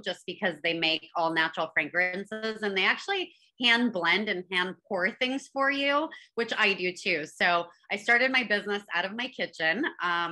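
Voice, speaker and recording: A woman, talking casually as an interview guest about her business, with lots of fillers and restarts. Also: on the low side. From born in Afghanistan, raised in Northern California. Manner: relaxed, chatty, many fillers and restarts. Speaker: guest, candle brand founder.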